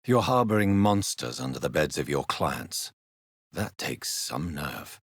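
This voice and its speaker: An actor playing a grave, educated gentleman doctor in a video game, stern yet courteous, with a husky voice and formal, measured diction. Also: extremely low pitch, sing-song, dry recording, clean studio sound, full character voice. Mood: accusing. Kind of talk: dramatic video game dialogue.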